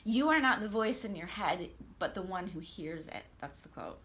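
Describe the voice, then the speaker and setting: A woman's voice: fairly low pitch, expressive, normal speed, a bit bright. A woman life coach speaking as an interview guest, earnest and enthusiastic, sometimes stumbling over how she starts a thought. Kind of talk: conversational interview.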